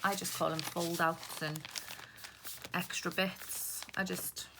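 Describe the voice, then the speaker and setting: A woman's voice: lowish voice, level tone, slow pace. A Welsh woman chatting casually through a crafting tutorial, rambling as she sorts through papers at her desk. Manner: rambling, chatty, talks while handling papers. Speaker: craft vlogger. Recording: paper crinkling noise close by. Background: paper rustling and crinkling.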